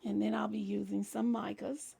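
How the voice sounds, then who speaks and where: A woman hosting a crafting tutorial in a relaxed, chatty way, explaining each step as she works, her voice scratchy from a cold. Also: mid-range voice, moderate pace, creaky, slightly rough. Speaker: crafting channel host. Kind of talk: casual crafting tutorial talk.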